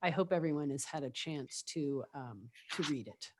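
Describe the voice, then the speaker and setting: A woman's voice: low voice, expressive, normal speed. Scratchy-voiced woman on a video call. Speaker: committee member. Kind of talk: public committee meeting, reading text aloud.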